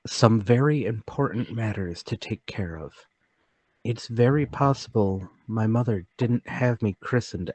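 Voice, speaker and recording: A man acts out a nervous, well-mannered lodger in a radio drama. His voice sits low and close, with little room around it. He hesitates and repeats words, politely covering a secret excitement. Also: dry recording. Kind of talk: radio-play table read.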